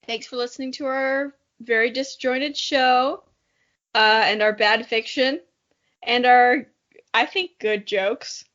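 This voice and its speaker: A woman's voice: a little high, fairly even intonation, slow, a bit bright, dull audio. A woman hosting a podcast, chatting casually in a jokey, self-deprecating way. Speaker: podcast host. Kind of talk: casual podcast outro chat.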